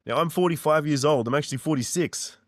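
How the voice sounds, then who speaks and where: A man's voice: on the high side, fast talker, dry recording, clean studio sound. A man in his forties talking earnestly and directly to the listener as a mindset coach, pressing points through repetition. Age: 40s. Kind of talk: motivational monologue.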